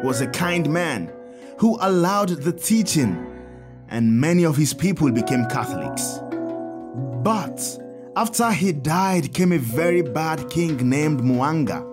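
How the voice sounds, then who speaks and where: Kindly priest for audio drama: instructive storyteller, animated melodic range, music behind. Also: male voice, on the high side, slow pace. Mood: earnest, explanatory. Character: kindly priest, Father Tad, teaching children about saints. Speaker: priest character telling saints' stories.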